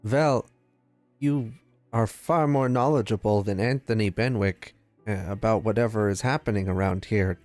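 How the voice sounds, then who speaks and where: A man's voice: fairly low pitch, moderate pace, a little mellow, no echo, soft background music. A man playing a tabletop roleplaying game, talking casually and thinking aloud with hesitant questions.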